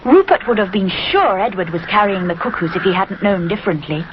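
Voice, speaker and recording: A woman narrating a scripted children's story in an expressive storytelling manner. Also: medium pitch, a little fast. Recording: muffled recording with clear background noise.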